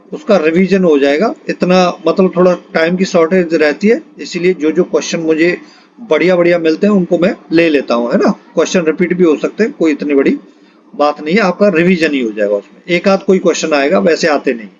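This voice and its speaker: A man's voice: fairly high pitch, darkish tone, dull audio. A man teaching an exam-prep lesson, reading out multiple-choice questions and their answers.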